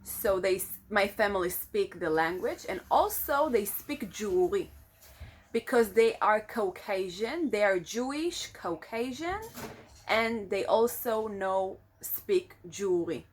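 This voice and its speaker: Slow, reflective Israeli woman in her twenties. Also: mid-range voice, lively intonation, breathy edge. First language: Hebrew. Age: 20s. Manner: reflective, searching for words with many fillers. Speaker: vlogger. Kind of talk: casual personal vlog monologue.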